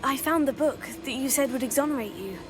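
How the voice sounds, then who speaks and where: Game: young actress as a polite, earnest student; light, slightly high voice. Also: female voice, fast talker, lightly acted. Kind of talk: video game voice acting. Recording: game audio with music behind.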